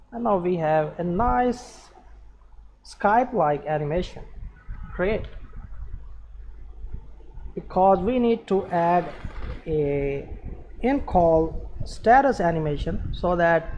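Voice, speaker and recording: A man presenting an unscripted screen-share programming tutorial, giving halting step-by-step instructions in short phrases. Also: on the high side, dark tone, a little hiss or hum, low-quality recording.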